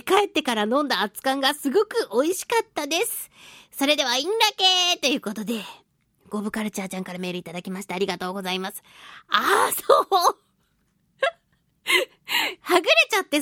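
A woman with a high, girlish pitch hosts a radio talk show. Her intonation bounces widely as she chats playfully and throws in expressive exclamations. The sound is dry, with no room echo. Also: quick pace. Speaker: radio show host, voice actress. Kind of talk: chatty solo radio talk, reading and answering listener mail.

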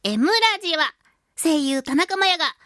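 A woman's voice: high voice, lively intonation, moderate pace, fairly bright tone, no echo, studio quality. A woman voice actor hosting a casual solo radio talk show, chatting playfully and acting out sound effects.

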